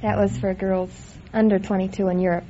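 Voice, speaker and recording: A woman's voice: medium pitch, flat delivery, brisk, mellow, slight background noise. A woman in her twenties answering a quiz host's questions, polite and plain-spoken. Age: 20s. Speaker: quiz show contestant. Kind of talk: casual chat. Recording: dull old radio broadcast.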